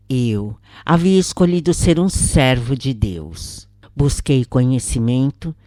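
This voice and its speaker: A woman with a Brazilian accent narrating a first-person spiritual story in a solemn, measured storytelling manner. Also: low-pitched, warm tone, no room sound, studio quality.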